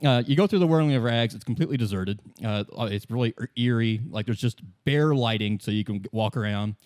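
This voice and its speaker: A man's voice: mid-range voice, fast talker, darkish tone, dry recording, studio quality. A man acting as game master, narrating the game in a casual, colloquial, improvised way. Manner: conversational, colloquial.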